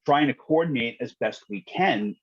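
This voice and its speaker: A man's voice: mid-range voice, animated, normal speed, breathy edge, no room sound, dull audio. A man telling work stories in a conversational podcast style, matter-of-fact, colloquial and salty. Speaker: guest, retired fire dispatcher.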